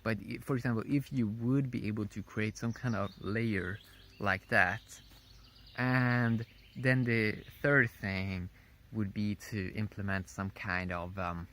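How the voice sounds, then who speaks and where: A man giving a casual, unscripted opinion monologue in a rambling, halting way, often repeating his phrases. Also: fairly low pitch, fairly bright tone. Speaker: vlogger.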